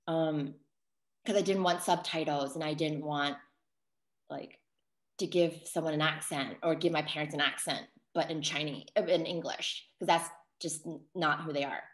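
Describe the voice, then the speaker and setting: A woman's voice: low-pitched, fairly even intonation, moderate pace. American woman thinking aloud, roomy echo. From New York City, United States. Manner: hesitant, self-correcting, thinking aloud. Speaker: playwright and solo performer. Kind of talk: reflective post-show discussion. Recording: roomy, echoing sound.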